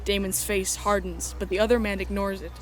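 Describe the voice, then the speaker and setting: A woman's voice: mid-range voice, flat delivery, fairly quick, slight background noise. A woman reading scripted first-person story narration as the narrator of a drama.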